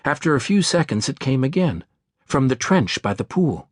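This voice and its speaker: A man narrates a thriller audiobook with a very lively, wide-ranging delivery. His voice sits at a middle pitch. The recording is close and dry, made at a studio microphone. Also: normal speed, dry recording.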